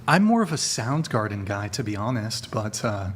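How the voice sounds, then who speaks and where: A man hosting a podcast panel, joking and hesitant, with lots of fillers, speaking into a desk mic in a bright studio. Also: fairly low pitch, sing-song, normal speed. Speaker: podcast host. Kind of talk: casual panel chat. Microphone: desk mic on arm.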